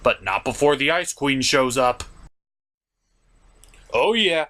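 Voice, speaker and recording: A man's voice: mid-range voice, animated, takes it slowly, bright, forceful tone. A man hosting a comedic cartoon review, recapping the plot in a sarcastic, mock-dramatic and profane manner. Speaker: cartoon reviewer, show host.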